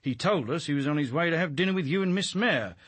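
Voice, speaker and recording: An actor playing a shrewd police inspector, probing and dryly insinuating, with a British accent in a radio drama. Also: male voice, fairly high pitch, expressive, quick pace, a bit bright, slightly rough, dry recording. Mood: needling, sardonic. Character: shrewd, needling male police inspector questioning a suspect.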